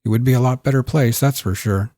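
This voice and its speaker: A man's voice: mid-range voice, fast talker, warm tone, dry recording, pitched above the performer's own voice, natural voice. A man voicing an affectionate, teasing wife in an audiobook. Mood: fond teasing.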